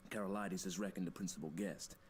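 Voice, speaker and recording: A man's voice: low-pitched, fairly even intonation, quick pace, a little hiss or hum, close to an everyday voice. An actor playing an urgent, conspiratorial American man on the run in a 1914 thriller game, grave and emphatic. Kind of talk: dramatic game voice acting, monologue.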